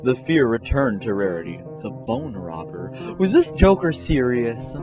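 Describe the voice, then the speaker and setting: A man's voice: medium pitch, huge pitch swings, a little slow, creaky. A man narrating a comic fan-fiction story in a theatrical, mock-serious way. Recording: music behind the voice.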